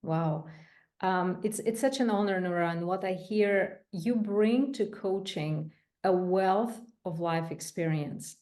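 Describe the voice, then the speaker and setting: Woman host, unhurried delivery, effusive and deliberate with guests. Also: lowish voice, a little mellow. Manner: slow, deliberate, effusive praise. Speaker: podcast host and co-founder. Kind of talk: podcast interview.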